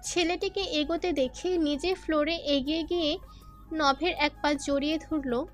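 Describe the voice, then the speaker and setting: A woman's voice: sits high, steady tone, measured pace. A woman narrator reading a scripted dramatic story. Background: faint music.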